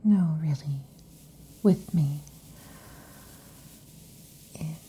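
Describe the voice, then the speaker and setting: A woman voicing a possessive, overheated female lamia in an ASMR roleplay, whispering softly and teasingly into a close mic. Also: low voice, extremely slow, slight background noise. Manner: soft intimate whispering, teasing. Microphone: close sensitive mic.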